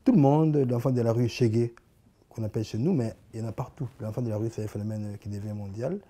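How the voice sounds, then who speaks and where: Halting Congolese man with a dark voice. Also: medium pitch. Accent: Congolese French. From Congo (Kinshasa). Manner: halting, many repeated words and restarts, animated hand gestures. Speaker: artist guest. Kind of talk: interview answers. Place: living room, indoors.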